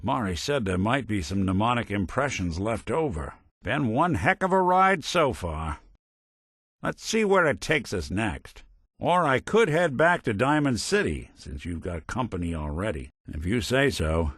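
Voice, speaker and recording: A man's voice: fairly low pitch, big pitch swings, a little slow, fairly bright tone, breathy edge, dry recording, clean studio sound, full character voice. A man voicing a weary, wry old-school detective robot with an American accent and hard-boiled noir phrasing, in a video game. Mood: dry, businesslike.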